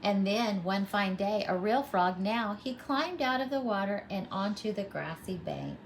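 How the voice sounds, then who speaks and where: A woman's voice: medium pitch, animated, measured pace, creaky, slight background noise, low-quality recording. A woman pre-K teacher reading a storybook aloud to young children, expressively and with simple explanations.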